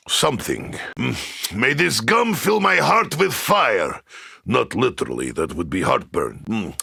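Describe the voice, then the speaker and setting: An actor playing a gruff, boastful, world-weary Russian soldier in a video game, with a thick Russian accent, a rough voice and broken English that drops its articles. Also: male voice, mid-range voice, pushed and bright, no echo, clean studio sound, full character voice. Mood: musing, dry. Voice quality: gravelly, rough. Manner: broken English, dropping articles, speaking of himself by name. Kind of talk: video game character voice lines.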